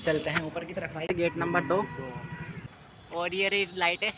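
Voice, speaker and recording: A man's voice: fairly high pitch, level tone, moderate pace. A man narrating a casual handheld travel vlog, chatty and informal as he points things out to viewers. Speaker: travel vlogger. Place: outdoors around a stadium and its stands. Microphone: handheld phone. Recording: noisy outdoor phone video with street ambience.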